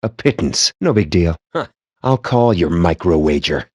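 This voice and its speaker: A verbose, nerdy male gamer for a video game. His voice sits a little low and swings widely in pitch for dramatic emphasis. The delivery is wry and pompous, captured tight and dry without room sound. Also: animated, moderate pace, a little mellow, breathy edge, no echo, highs cut off. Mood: mock bravado. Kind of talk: video game character voice lines.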